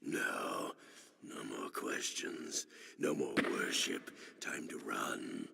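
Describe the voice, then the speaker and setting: An actor playing a deranged, hungry, wrathful undead vampire god for a video game, grandiose and erratic, with a gravelly, guttural voice. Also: male voice, rumbling low, lively intonation, very slow, heavily acted. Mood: raging, bloodthirsty. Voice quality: gravelly, guttural, breaking into whispers and shrieks. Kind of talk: dramatic video game acting. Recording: long cavernous reverb.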